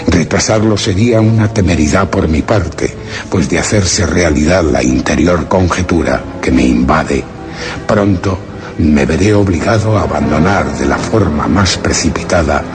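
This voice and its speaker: An actor from playing an anguished, secretive explorer in a suspense radio drama, narrating in grave, formal, literary language. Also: male voice, low voice, normal speed, over music, lightly acted. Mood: resolute, guarded. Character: anguished male explorer hiding among a foreign people, solemn and secretive.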